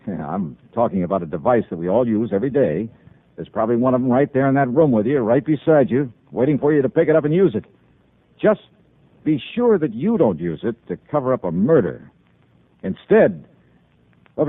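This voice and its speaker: An American man playing a sharp, confident freelance insurance investigator in 1950s radio drama, with an insistent, wry, emphatic delivery. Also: mid-range voice. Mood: confiding. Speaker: star of the radio series. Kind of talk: 1950s radio detective acting. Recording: tinny, band-limited old radio broadcast.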